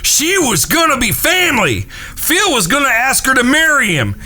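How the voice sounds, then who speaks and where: A man plays a fiercely loyal frontier outlaw. The voice is bright and hard-edged, swinging widely in pitch, blunt and hot-tempered in western audio drama style. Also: wildly swooping pitch, normal speed, full character voice. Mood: furious. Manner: blunt, profane, forceful.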